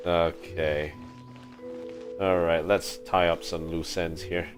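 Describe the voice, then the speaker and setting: A man's voice: deep voice, little pitch movement, takes it slowly. A man giving casual, amused, exclamatory commentary as he streams a video game. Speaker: live streamer. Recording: game music behind the voice.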